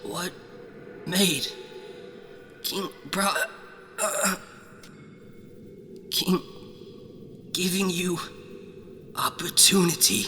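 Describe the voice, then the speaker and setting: A man playing a delirious, dying royal guard in an audio drama, gasping out halting, broken last words, barely conscious. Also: sits high, fairly even intonation, bright, forceful tone, heavily acted. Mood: desperate, fading. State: barely conscious, struggling for breath.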